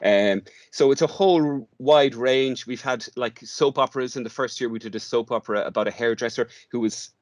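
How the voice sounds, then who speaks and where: A man's voice: on the low side, no room sound, clean studio sound. A man explaining his work in an informal interview, often restarting and repeating words as he goes. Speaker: drama workshop facilitator, interview guest.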